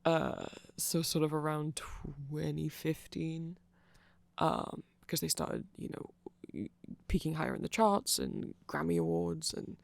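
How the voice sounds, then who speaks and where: A performer voicing a nerdy vampire in a casual ASMR roleplay, explaining things in a hesitant, digressive, conversational way with a creaky voice. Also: medium pitch, lively intonation, takes it slowly, dry recording, exaggerated voice. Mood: nerdy, rambling. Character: nerdy rambling vampire, eager fan explaining band lore.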